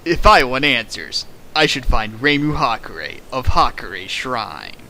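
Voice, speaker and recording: Man doing a comic read-through of a fanfic, lively swooping pitch, sarcastic asides, faint noise in the background. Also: a little slow, poor audio. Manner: sarcastic, mocking asides, laughs at the text. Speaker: reader riffing a fan fiction. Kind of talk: comedic dramatic reading with commentary.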